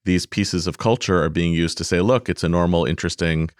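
Male interviewer, very deep voice, dry close sound, thoughtful and laughing. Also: a little fast, clear, resonant tone, no room sound. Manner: thoughtful, laughs often, stumbles into questions. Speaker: interview host. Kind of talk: conversational interview.

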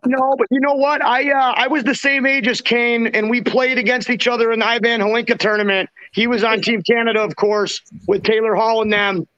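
Very high-pitched man hosting a sports podcast. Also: fairly even intonation, fairly quick, hardly any pauses, bright, forceful tone, dull audio. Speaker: podcast host; former hockey goaltender. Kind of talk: casual sports podcast talk.

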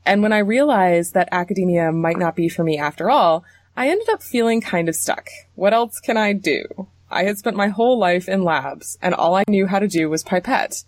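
A young woman hosting an interview, reading a scripted introduction and then asking questions in a clear, steady way. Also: medium pitch, moderate pace, no room sound. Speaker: podcast host and interviewer.

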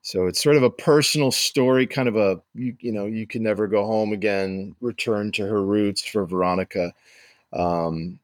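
Male writer guest, American, lowish voice, dry sound, halting conversational explanations. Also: no room sound. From East Coast of the United States.

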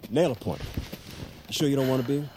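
An actor playing an evasive middle-aged father, a beer-drinking lieutenant, halting and gruffly jovial in an audio drama. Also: medium pitch, noisy background, lightly acted. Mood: brusque. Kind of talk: naturalistic audio drama acting.

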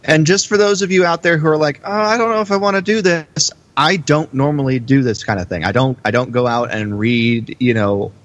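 A man co-hosting a podcast in a casual, halting way, repeating phrases for emphasis. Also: on the high side, a bit bright, no room sound, muffled recording. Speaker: podcast co-host.

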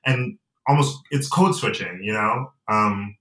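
A young man from Atlanta and Nigeria, a guest in a casual interview, talking reflectively with many pauses and fillers. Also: fairly low pitch, bright, forceful tone, recorded from a distance. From United States and Nigeria. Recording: roomy and off-mic.

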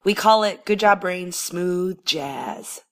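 Raspy-voiced woman hosting a podcast. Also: on the low side, animated, slow. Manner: friendly, playful, apologetic. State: hoarse, under the weather. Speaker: quiz podcast host. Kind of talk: casual solo podcast announcement.

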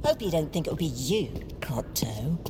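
A low-voiced, needling henchwoman. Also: very animated, normal speed, over music. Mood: taunting. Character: needling female henchwoman of a shadow villain. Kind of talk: audio drama acting.

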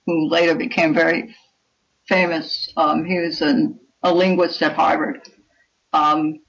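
A woman's voice: low voice, flat delivery, a little slow. A dull, lo-fi recording carries the voice of an older woman. She is a guest author giving conversational, articulate explanations in an interview.

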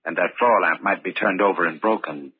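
An actor as low, sinister radio thriller narrator. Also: male voice, noisy background, close to an everyday voice. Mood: grave, ominous. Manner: measured, deliberate, ominous. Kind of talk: old-time radio dramatic narration. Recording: muffled old radio recording.

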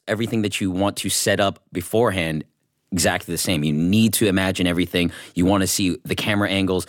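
A man's voice: sits low, dry recording, clean studio sound. A man talking informally and enthusiastically as a guest in a casual panel chat, often acting out his own thoughts. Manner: informal, enthusiastic, self-quoting. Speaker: guest, voice actor with animation training.

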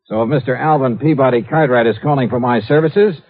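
An actor playing a brisk, slangy insurance investigator in a 1950s radio detective drama, both in dialogue and narration. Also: male voice, mid-range voice, lightly acted. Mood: brisk, easygoing. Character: brisk, wisecracking male freelance insurance investigator. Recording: thin, narrow old radio recording.

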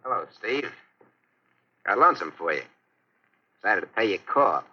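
A man's voice: on the low side, big pitch swings, normal speed, bright, forceful tone, dull audio, a little hiss or hum, exaggerated voice. An actor playing a short-tempered, sarcastic male crime boss in a vintage radio drama. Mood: mocking, threatening. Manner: menacing, sarcastic, bossy. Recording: vintage 1940s radio broadcast.

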